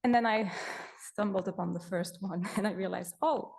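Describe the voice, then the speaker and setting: A woman's voice: medium pitch, moderate pace, creaky, a little mellow. A young woman from Belgium, a native Dutch speaker, presenting her work as a repository manager in a chatty, self-correcting way with frequent fillers. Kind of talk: conference presentation.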